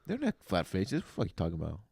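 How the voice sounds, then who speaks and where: Guy w a lowish voice thats all over the place in pitch, slangy podcast chat, dry sound. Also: wildly swooping pitch, rapid-fire, creaky, a little mellow, breathy edge, no room sound. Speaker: podcast co-host. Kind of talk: casual chat with slangy banter.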